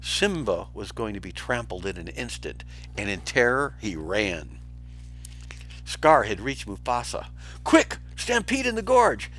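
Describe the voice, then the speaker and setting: A man reads a children's story to young listeners with theatrical expression. His medium-pitched voice is bright and rises and falls dramatically. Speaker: storybook reader for schoolchildren.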